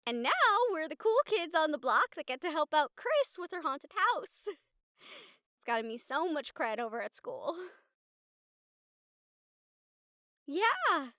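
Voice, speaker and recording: A woman plays an excitable young college girl with a very high, bright voice. She talks straight to the listener in a chatty, giggly, earnest way, as in an intimate audio roleplay. The recording is close and dry. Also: moderate pace, no echo, full character voice. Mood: excited, chatty. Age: young adult. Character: excitable, sweet young college girl, a medium befriending a ghost.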